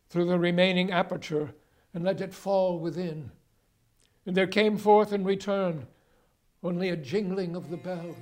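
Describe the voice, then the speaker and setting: An actor playing a vengeful, coldly gloating murderer in a dramatic reading of a gothic horror story, with deliberate, theatrical, formal delivery. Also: male voice, high voice, natural voice. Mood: grim, solemn. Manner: deliberate, theatrical, formal narration with loud cries.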